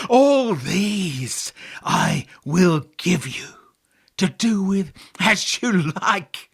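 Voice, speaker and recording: A man voicing an ancient, weary, contemptuous winged tempter in a storybook reading, speaking slowly and seductively. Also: on the high side, gravelly, a bit bright, husky, full character voice. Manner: slow, deliberate, seductive.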